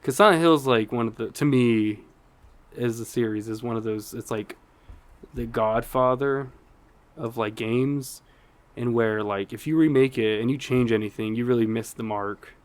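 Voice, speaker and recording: A man's voice: mid-range voice, moderate pace. Casual man chatting as podcast co-host. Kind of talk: casual chat about video game movies.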